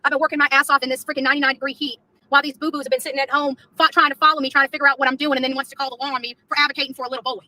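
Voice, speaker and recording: A woman's voice: sits high, flat delivery, very fast, pushed and bright, poor audio. A woman on a confrontational live rant, taunting and repeating her phrases, with swearing. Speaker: activist streamer in a played clip.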